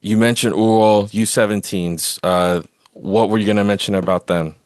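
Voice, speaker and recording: This is a man with a low voice, recorded close and dry. He hosts a casual sports podcast and gives his opinions in a rambling, conversational flow. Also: steady tone, moderate pace, darkish tone, dry recording. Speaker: podcast host.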